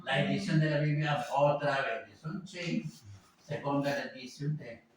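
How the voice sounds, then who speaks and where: A man teaching an informal class, talking haltingly with many fillers and tag questions. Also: fairly high pitch. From Venezuela. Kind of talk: informal lecture. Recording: echoey room, voice from a distance, faint background noise.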